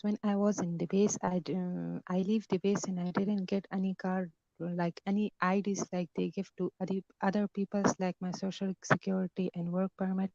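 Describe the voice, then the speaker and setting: A young woman from Afghanistan speaks as a caller in halting, English. Her voice sits at a middle pitch, and the line sounds dull and dry. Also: a little mellow, no echo. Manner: non-native English with halting phrasing. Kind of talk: hesitant question over a call. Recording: dull lo-fi call audio, dry.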